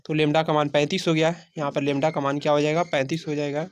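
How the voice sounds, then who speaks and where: A man's voice: fairly high pitch, flat delivery, fast talker, slight background noise. A man teaching a quiz-style lesson, repeating phrases and answering his own questions. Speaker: teacher of exam-prep science class.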